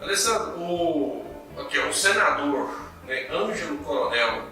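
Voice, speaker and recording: A man's voice: fairly high pitch, animated, a little slow, pushed and bright, very echoey, distant mic, soft background music, low-quality recording. A man co-hosting a political talk show, chiming in and reading news items aloud. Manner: reads news items aloud, agreeing interjections. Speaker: political commentary co-host. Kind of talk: casual political discussion.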